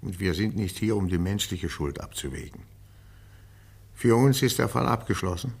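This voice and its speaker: A low, gravelly police interrogator. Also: male voice, animated, darkish tone, exaggerated voice. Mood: grave, conclusive. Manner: measured, insistent, formal. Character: stern, probing male police interrogator. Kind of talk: German radio crime drama acting.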